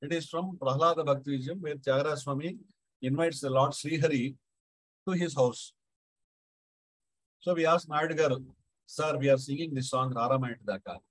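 Higher-voiced South Indian man, halting storyteller. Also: no echo, poor audio. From Andhra Pradesh, India. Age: middle-aged. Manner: halting, repeats phrases. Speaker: Carnatic musician, guest speaker. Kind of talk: devotional anecdote, casual spoken storytelling.

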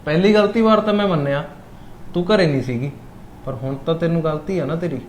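A man's voice: fairly high pitch, fairly quick, slight background noise, natural voice. A man acting as a friend in a drama, reassuring someone not to worry so much. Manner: easygoing, reassuring. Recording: echoey room.

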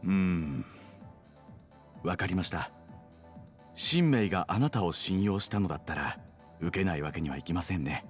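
An actor playing a courteous, smooth-talking nightlife guide and wheeler-dealer in a Japanese video game, with polite salesmanlike phrasing. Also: male voice, low voice, moderate pace, long pauses, soft background music, lightly acted. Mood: relenting, bargaining.

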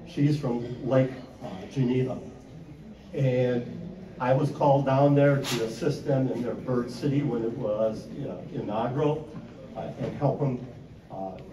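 An older man from Wisconsin giving a convention talk on birds in a loud, plain-spoken way, with many "uh" fillers, into a handheld mic held away from his mouth. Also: mid-range voice, distant mic, noisy background. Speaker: conservation speaker. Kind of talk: convention presentation. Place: convention hall. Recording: echoey hall, mic from afar.

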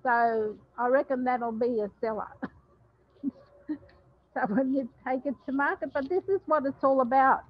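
An older Australian woman chef chats about her recipes in a plain, homely way, pausing and filling gaps with ums. Her voice sits a little high. The sound is dry and a bit dull, close on a headset mic. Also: steady tone, no echo. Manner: warm, plain-spoken, many ums and pauses. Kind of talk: casual cooking talk to a webinar.

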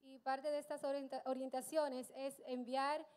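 A woman's voice: high-pitched, normal speed. A woman on a church stage interpreting a sermon line by line, with a few self-corrections. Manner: steady consecutive interpreting, occasional self-corrections. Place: church stage, large hall.

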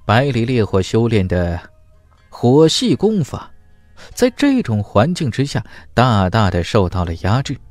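A man's voice: mid-range voice, sing-song, unhurried, a little mellow, no room sound, faint music behind. A man reading a fantasy audiobook as narrator, in a dramatic scripted storytelling style.

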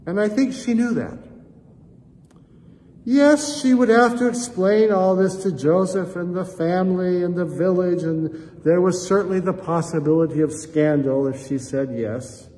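A man's voice: sits high, measured pace, mellow. An older man preaching a reflective sermon in a church sanctuary, gesturing as he talks and addressing listeners as friends. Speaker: pastor. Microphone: camera at chest height, seated facing it. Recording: long church reverb, faint room noise.

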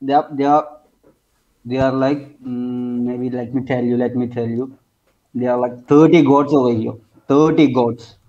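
A twenty-two-year-old man from India with an Indian accent, debating casually, haltingly repeating his phrases for emphasis. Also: mid-range voice, steady tone, warm tone. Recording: dull lo-fi online call audio.

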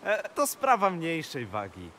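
A man's voice: fairly high pitch, wildly swooping pitch, a little slow, pushed and bright, a little hiss or hum, exaggerated voice. A man playing an imperious but jovial king in a rhymed fairy-tale audio play. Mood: commanding. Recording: long reverberant hall sound.

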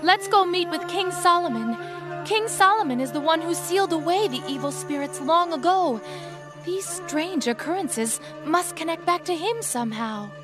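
A young genie girl in a video game. She is a gentle, earnest companion with a very high voice and a polite, wondering way of speaking, with music clearly behind her. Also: lively intonation, moderate pace. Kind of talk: video game cutscene acting.

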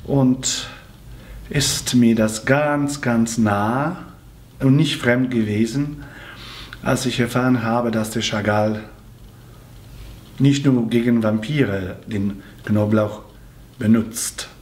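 A middle-aged man with a Polish accent sits indoors and answers fans' questions one by one. His phrasing is careful and a little halting, as someone speaking a second language, with a faint hiss of background noise under his voice. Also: mid-range voice, fairly even intonation, darkish tone. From Poland. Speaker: musical theatre actor answering fan questions. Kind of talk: seated video interview. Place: small room with red curtain and patterned wallpaper, indoors.

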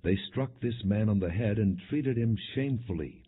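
A man with a low, husky voice narrates scripture from a prepared text. His delivery is solemn and measured, with expressive storytelling. The recording is muffled and thin, like a phone line. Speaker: audiobook narrator.

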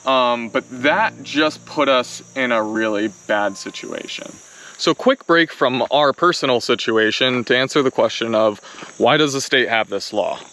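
A man's voice: medium pitch, sharp tone. Exasperated young man explaining outdoors. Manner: exasperated, fast explaining with many "like"s. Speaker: vlog co-host. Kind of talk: casual chat to camera. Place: outdoors in a wooded clearing. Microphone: camera-mounted mic, later handheld selfie camera. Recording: outdoor camera audio from a little distance.

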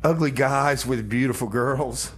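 A man's voice: mid-range voice, a little slow, close to an everyday voice. A man playing a scatterbrained, easily confused radio DJ host, bumbling and repeating himself in comedy radio banter. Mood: cheerful announcing. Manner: bumbling, repetitive, defensive.

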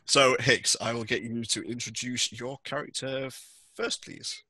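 Bright-voiced young man as game master. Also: medium pitch, fairly even intonation, measured pace, husky, no room sound. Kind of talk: narrating a tabletop roleplaying scenario. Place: indoor room on a video call.

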